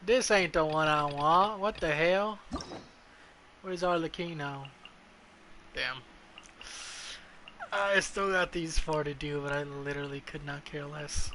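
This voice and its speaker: Bright, highish-voiced male game streamer. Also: takes it slowly, long pauses, a little hiss or hum. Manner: playful, sweary, excitable asides. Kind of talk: casual live game commentary.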